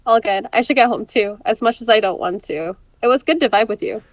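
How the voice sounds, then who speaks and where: A woman's voice: fairly high pitch, a little fast, no room sound, dull audio, natural voice. A young woman acting a casual, self-critical girl in an audio drama, with an informal way of talking. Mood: friendly, casual. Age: young adult.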